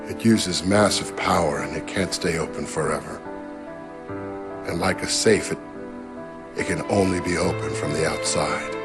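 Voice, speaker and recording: An actor playing a weary, cautious middle-aged father trapped in a digital world, speaking gravely and haltingly in a video game. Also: sits low. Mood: weary, regretful. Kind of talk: cinematic video game acting. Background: music.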